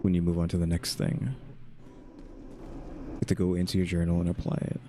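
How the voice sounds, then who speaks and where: A man's voice: low voice, completely flat delivery, brisk, noisy background. A man giving casual, informal gameplay commentary, thinking aloud as he goes. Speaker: gaming channel host. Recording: game music behind the voice.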